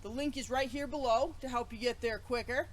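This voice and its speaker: High-voiced woman hosting children's story time. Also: brisk, a little hiss or hum. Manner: chatty, playful, addressing children directly. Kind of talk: animated children's read-aloud presenting.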